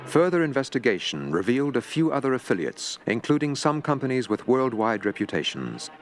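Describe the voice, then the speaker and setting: A man giving dramatic, newsreel-style scripted narration for an animated film. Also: medium pitch, fairly quick, faint music behind.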